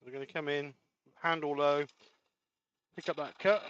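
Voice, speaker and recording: A man's voice: fairly high pitch, fairly even intonation, normal speed, fairly bright tone, clean tone, lo-fi sound. A man giving a live woodturning demonstration in his workshop, explaining each step as he works and sometimes trailing off mid-sentence. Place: home workshop.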